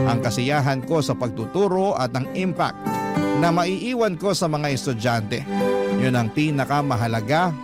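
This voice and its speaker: A man with a Filipino accent reading a love story in the first person as a radio storyteller. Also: mid-range voice. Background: prominent instrumental music.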